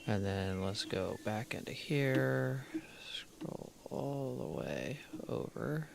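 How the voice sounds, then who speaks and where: A man's voice: medium pitch, big pitch swings, slow pace, gravelly. A man streaming a game live, thinking aloud as he plays, half-muttering his plans, with a crackly voice from a dry throat. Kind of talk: casual live gameplay commentary.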